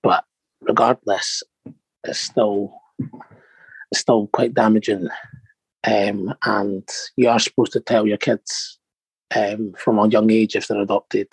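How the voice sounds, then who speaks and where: Slow, gravelly man in his thirties. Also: lowish voice, dry recording, rough recording. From born in Morocco, raised in Scotland. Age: 30s. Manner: soft-spoken, hesitant with many ums. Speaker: interview guest. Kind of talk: personal interview.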